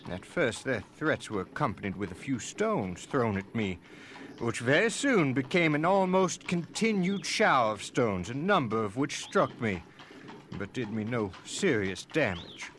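An actor playing an indignant slaveholder who makes a formal, emphatic complaint in a dramatic reading of historical documents. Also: male voice, medium pitch, very animated, measured pace, a bit bright, a little hiss or hum.

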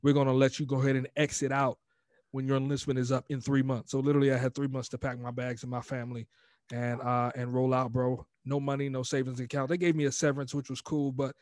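A middle-aged American man telling personal stories casually in an interview, animated and informal, acting out quoted speech. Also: mid-range voice, fast talker, no echo, studio quality. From United States, raised in Virginia. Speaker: podcast guest, motivational coach.

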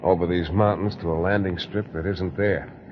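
Actor as wry, deep American army pilot, noisy radio. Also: male voice, steady tone, normal speed, natural voice. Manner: wry, slangy, nonchalant. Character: cocky, breezy army air force pilot lieutenant. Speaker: pilot character. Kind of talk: 1940s radio acting. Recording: muffled, noisy 1940s radio recording.

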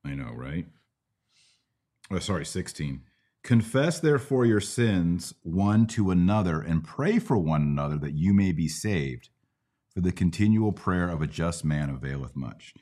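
A man's voice: deep voice, lively intonation, unhurried, dark tone. A middle-aged American man hosting a conversational Bible Q&A, teaching in a didactic way with questions to check understanding. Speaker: podcast host and Bible teacher.

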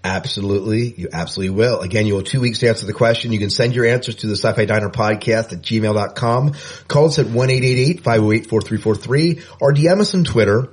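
A man co-hosting a podcast in casual chat, easygoing and self-deprecating, often trailing off quieter. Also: lowish voice, fast, breathy edge. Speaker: podcast co-host.

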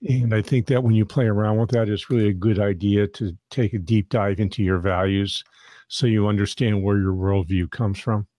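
A man's voice: fairly low pitch, fairly even intonation, moderate pace, mellow, no echo. A man hosting a podcast interview, talking in a friendly, rambling way and often restarting his sentences. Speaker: podcast host.

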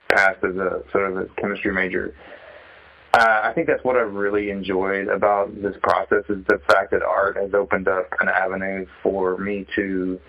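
Man, lowish pitch, casual phone chat, halting and reflective, searching for words, muffled limited-band call. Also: gravelly, slightly rough. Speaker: interviewee, sculptor. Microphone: telephone.

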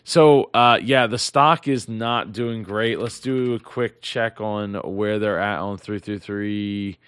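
A hoarse man with a lowish voice riffs on business headlines, trailing off and restarting his sentences often. His close, dry mic leaves no room sound at all. He talks casually, as if to regular viewers. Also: slow, a bit bright, no echo. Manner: rambling, many restarts and fillers. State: losing his voice. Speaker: business news host. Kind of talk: casual news commentary.

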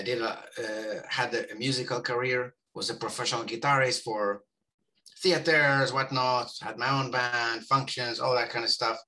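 Israeli guy in his forties, low and bright-toned, loose rambling interview talk. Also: rough recording. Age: 40s. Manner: rambling, many fillers and restarts. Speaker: guest. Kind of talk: casual interview chat.

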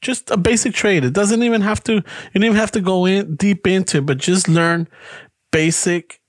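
A man's voice: sits high, steady tone, moderate pace, no room sound, studio quality. Hoge stem, man van midden veertig. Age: 40s. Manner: swearing, rambling, says "like" and "dude" a lot. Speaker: podcast co-host. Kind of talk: casual profane chat, mixing English and Spanish.

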